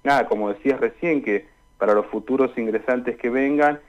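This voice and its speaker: Over a muffled, lo-fi remote connection, a young Argentine man speaks as a radio interview guest. His voice is mid-pitched and his talk is casual and informal. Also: brisk. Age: young adult. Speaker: interview guest, student announcer. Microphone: remote call. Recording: dull lo-fi remote call.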